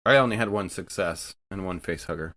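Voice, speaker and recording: Low-voiced man at a game table. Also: a little fast, a bit bright, no room sound, clean studio sound. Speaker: player in a tabletop role-playing game. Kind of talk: casual game-table chat.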